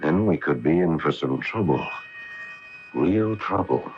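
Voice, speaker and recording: An actor playing an eager, awestruck astronaut commander in a 1980 radio drama, with an earnest, musing, dramatic delivery. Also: male voice, extremely low pitch, lively intonation, unhurried. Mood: grave. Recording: dull, noisy 1980 AM radio recording.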